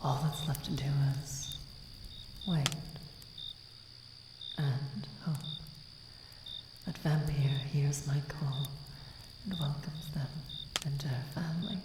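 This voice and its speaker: A woman playing a centuries-old vampire, anguished, devoted and vengeful, in a dramatic fantasy ASMR roleplay with halting, breathy delivery that often drops into whispers. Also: sits low. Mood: solemn pleading. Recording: echoing reverb with noisy cricket ambience. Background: night ambience with crickets and sound effects.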